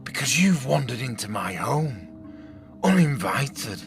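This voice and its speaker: An actor playing an older survivor leader in an audio drama, a menacing villain with a gravelly voice and a mocking, deliberate, sarcastic manner. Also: male voice, mid-range voice, big pitch swings, music in the background. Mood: threatening.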